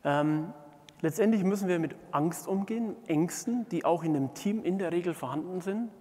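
A middle-aged man giving a conference keynote in a halting manner, with frequent pauses. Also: high-pitched, darkish tone. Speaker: keynote speaker, management consultant. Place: large indoor conference hall, on stage before seated audience. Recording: reverberant conference hall.

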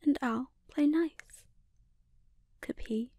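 A woman playing a menacing, seductive sorceress and obsessive mother in a breathy, often whispered ASMR roleplay, speaking deliberately and with quiet threat. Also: high voice, no room sound, clean studio sound. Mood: coolly threatening.

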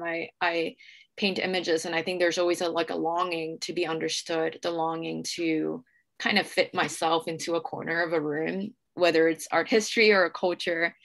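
A young woman moderates a casual live online talk from home. Her voice is very bright and sits a little low. She feels her way through sentences, hesitant and self-effacing. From Hong Kong. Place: small room at home. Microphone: video call.

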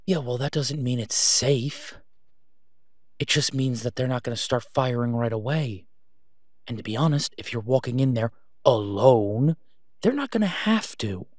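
A man's voice: mid-range voice, animated, darkish tone, dry recording. Exasperated vigilante acted by a man, close and dry. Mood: exasperated, warning. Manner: urgent, pleading, emphatic with pauses. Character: exasperated, protective masked male vigilante on patrol. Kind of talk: one-sided phone-call audio roleplay.

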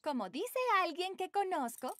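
A woman's voice: very high-pitched, lively intonation, moderate pace, fairly bright tone, no echo. A woman voicing an opinionated, sensible teenage girl in a cartoon. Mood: matter-of-fact. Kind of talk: animated cartoon dub. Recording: music behind the voice.

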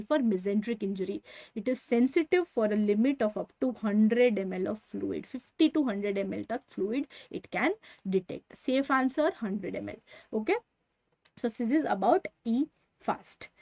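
A woman's voice: on the high side, a little mellow, no room sound. A woman in her thirties with an Indian accent, giving an emphatic revision lecture as a medical teacher and mixing in Hindi phrases. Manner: emphatic, instructive, checking in with short tags.

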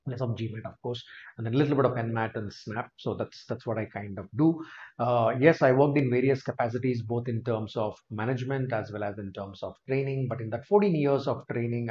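A man's voice: mid-range voice, darkish tone. A man in his thirties with an Indian English accent, teaching a live online class from a small room and chatting to viewers by name. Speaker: test prep teacher. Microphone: webcam corner of screen share. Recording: slightly dull lo-fi stream audio.

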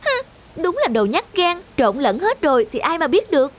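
A woman voicing a scheming, sharp-tongued village rice seller in a folk-tale cartoon, nagging and emphatic. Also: sits high, very animated, normal speed. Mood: scolding, smug.

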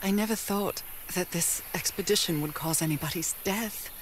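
An actress playing a curious, devoted wife in an adventure game, thinking aloud reflectively with old-fashioned slang. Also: female voice, on the low side, moderate pace, a little hiss or hum, natural voice. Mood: somber, reflective. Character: curious, devoted wife searching for her missing husband.

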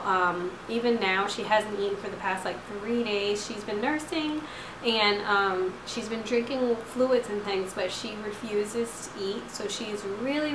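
A woman in her twenties, slightly hoarse from a lost voice, chatting casually to camera from her couch with lots of fillers. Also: mid-range voice, roomy sound, background noise. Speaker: vlogger. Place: living room couch at night. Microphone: camera at close range.

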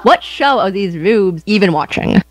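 An actress playing an excitable, snarky young female driver in an audio drama, exclamatory and theatrical. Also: mid-range voice, unhurried, faint music behind, close to an everyday voice, low-quality recording. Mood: indignant.

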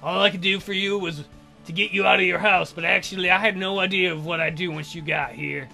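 A man's voice: high voice, steady tone, brisk, gravelly, faint music behind, full character voice. Man playing a dryly teasing young woman friend. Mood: gentle, sincere. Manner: plain, gently scolding.

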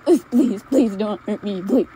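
A woman playing a desperate, tender young woman on the run with her lover in an old-fashioned amateur audio drama, halting and repeating her words. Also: mid-range voice, dark tone, close to an everyday voice. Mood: desperate pleading. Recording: noisy ambience sound effects behind voice. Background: forest, stream and dungeon ambience effects.

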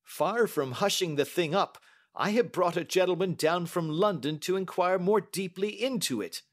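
A man's voice: sits high, normal speed, pitched above the performer's own voice. A man voicing an impatient, indignant older banker in emphatic, formal Victorian speech for an audiobook. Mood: indignant, insistent. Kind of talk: audiobook character acting.

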